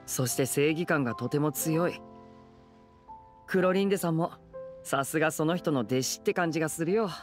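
An actor playing a gentle, polite, lightly wry young man in a video game. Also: a little high, moderate pace, long pauses, soft background music, natural voice. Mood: wry, admiring. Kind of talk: Japanese game voice acting.

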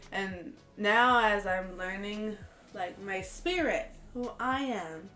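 A woman's voice: mid-range voice, reverberant. A woman in her late twenties, slightly congested from a cold, talking candidly to camera in her bedroom, earnest and laughing often. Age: late 20s. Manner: earnest, expressive, laughs often, slow unhurried talk. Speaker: vlogger. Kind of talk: candid personal chat to camera. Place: bedroom with hanging lights, indoors.

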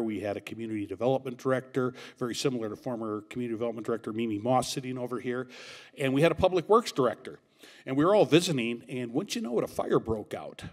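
A man emceeing an awards event, telling a joke story at a podium mic in a banquet hall, with animated, shouted quoted lines. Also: mid-range voice.

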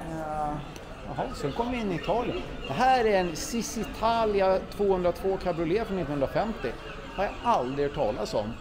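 A man talks his way through an indoor car museum while holding the camera, chatty and full of enthusiasm, often thinking aloud and correcting himself mid-sentence. The murmur of other visitors is clearly heard behind him. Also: high-pitched, expressive. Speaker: car vlogger touring a museum. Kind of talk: casual walk-through commentary. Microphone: handheld camera mic, walking. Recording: visitor crowd in background. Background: crowd murmur of museum visitors.

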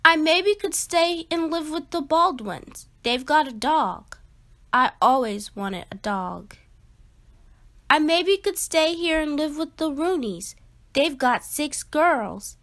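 A woman reading a children's picture book aloud expressively, with emphatic, deliberate phrasing. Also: high-pitched, animated, fairly bright tone. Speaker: read-aloud storyteller.

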